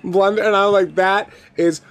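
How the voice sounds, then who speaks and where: A man's voice: high-pitched, fairly even intonation, normal speed, a bit bright, clear, resonant tone. A man co-hosting a podcast in casual chat, with an enthusiastic, rambling way of talking. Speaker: podcast co-host.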